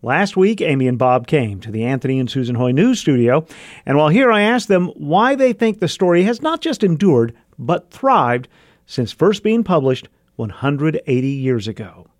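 A man's voice: on the high side, very animated, moderate pace, no echo, clean studio sound. A man hosting a radio show, presenting from a script.

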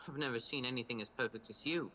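Man playing a bright-voiced, nervous young suitor. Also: mid-range voice, a little hiss or hum, natural voice, low-quality recording. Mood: smitten. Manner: nervous, halting. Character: awkward, earnest, smitten young clerk. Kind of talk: radio play acting.

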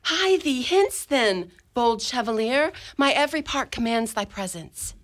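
Woman voicing young noblewoman, higher pitch, impatient, teasing, theatrical, archaic radio-play romance. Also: lively intonation, unhurried, fairly bright tone. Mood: urgent. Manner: theatrical, imperious, archaic phrasing. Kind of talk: amateur radio drama in Shakespearean verse.

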